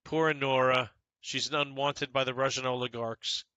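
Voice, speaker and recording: A man's voice: medium pitch, fairly even intonation, normal speed, sharp tone, smooth, no room sound, muffled recording. A man streaming a golf video game, chatting casually with his viewers and talking to them by name. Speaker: gaming streamer. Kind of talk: casual commentary over gameplay.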